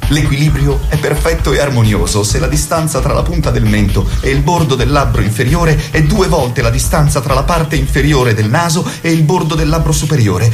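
A man playing an obsessive, perfectionist beautician, speaking in a measured, intense theatrical way. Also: medium pitch, over music, lightly acted. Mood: precise, persuasive. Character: obsessive male beautician, precise, adoring, perfectionist. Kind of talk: theatrical literary dialogue.

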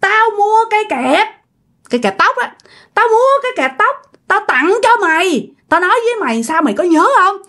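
A vengeful female ghost, acted by a woman storyteller, with a high, wildly swooping Southern Vietnamese voice. She is jealous and bitter, hammering repeated accusations and curses at the one who betrayed her. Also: very animated, moderate pace, fairly bright tone, husky, full character voice. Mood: vengeful, cursing. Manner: accusing, repeats phrases insistently, bursts of menacing laughter.

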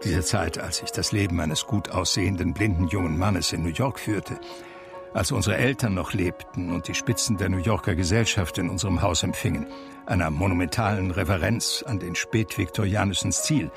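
A blind, cultured gentleman looking back on his life in a radio drama. His voice is slightly low and gravelly, with measured, articulate phrasing and a gentle wry streak. Music runs clearly behind him. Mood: wry, reminiscing. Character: blind man from a cultured New York family, reminiscing wryly. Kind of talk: German radio drama first-person narration.

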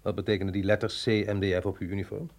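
A man's voice: on the low side, flat delivery, very fast, darkish tone, lightly acted. An actor in a radio drama playing a wry, understated male agent, a curious outsider who questions and hesitates.

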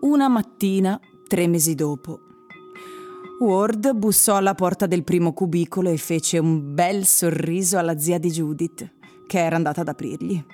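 A woman's voice: fairly low pitch, moderate pace, warm tone. A woman reading scripted story narration for a radio drama. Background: music.

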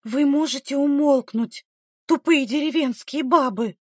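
A woman's voice: sits high, animated, normal speed, darkish tone, breathy edge, dry recording, studio quality, pitched above the performer's own voice. A woman voicing a caring but fiery young village midwife in an audiobook story, speaking earnestly and urgently. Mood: angry.

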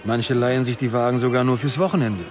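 An actor playing a middle-aged police detective in a naturalistic radio drama, placating yet headstrong, conversational and a little defensive. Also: male voice, medium pitch, steady tone, quick pace, over music, close to an everyday voice. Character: male police colleague and ex-lover, placating, headstrong.

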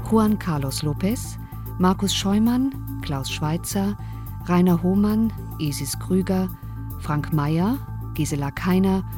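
A woman reading the scripted closing credits of a radio drama as its announcer. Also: on the low side. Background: prominent music.

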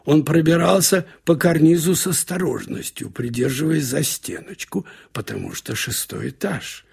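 A man narrating a literary short story in an expressive, storytelling way with wry colloquial asides. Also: fairly high pitch, normal speed, creaky, husky. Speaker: narrator reading short fiction. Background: music.

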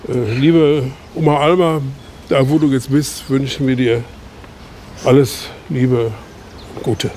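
An actor playing an earnest middle-aged village man who speaks haltingly, with many restarts, in a radio play. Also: mid-range voice, fairly even intonation, a little mellow, a little hiss or hum, close to an everyday voice. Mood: solemn. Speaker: eulogy speaker.

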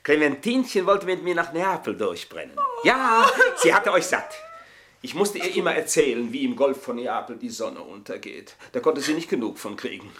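An older actor playing an embittered, boastful manservant in a German radio play, speaking in a florid, mocking, theatrical way. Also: male voice, medium pitch, a bit bright. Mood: gleeful, mocking. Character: aging, embittered, boastful manservant taunting his mistresses.

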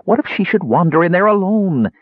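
A man voicing a small, eager, excitable kender who nags and pleads in a fantasy audiobook. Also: fairly high pitch, fairly quick, husky, no room sound, narrow, tinny audio, pitched above the performer's own voice, exaggerated voice. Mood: urgent.

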